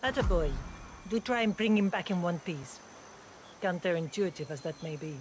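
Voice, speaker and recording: A woman's voice: lowish voice, lively intonation, moderate pace, a little hiss or hum, close to an everyday voice. A woman playing a haughty, condescending socialite in a video game, with a sardonic delivery. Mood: mocking. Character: haughty, mocking female socialite. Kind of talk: video game character acting.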